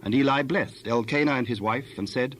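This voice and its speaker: Measured male scripture narrator, mid-pitched. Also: a little hiss or hum.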